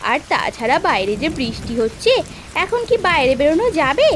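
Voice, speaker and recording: A woman's voice: high-pitched, big pitch swings, a little fast, fairly bright tone. A woman voicing a fretful, scolding teenage village bride in a cartoon, fussing and questioning. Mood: doubtful. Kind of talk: cartoon voice acting. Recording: clear noise of rain behind.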